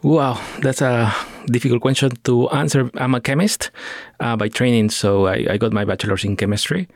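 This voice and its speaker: A man's voice: mid-range voice, normal speed, no echo, studio quality. A middle-aged man from Peru, talking as a scientist guest on a podcast, with many fillers and restarts. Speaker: astrochemist guest. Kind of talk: podcast interview.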